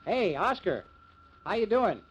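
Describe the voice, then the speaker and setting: An actor playing a wisecracking small-town newspaper editor and husband in a jaunty, teasing 1950s radio drama style. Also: male voice, high voice, big pitch swings, measured pace, husky, full character voice. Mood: breezy, pushy. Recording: muffled, noisy old 1950s radio recording.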